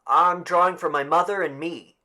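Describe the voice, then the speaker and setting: A man's voice: fairly high pitch, fairly even intonation, moderate pace, sharp tone, pitched above the performer's own voice. A man voicing a tall, nervous teenage boy in an audiobook. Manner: nervous, eager to answer. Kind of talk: audiobook character voice.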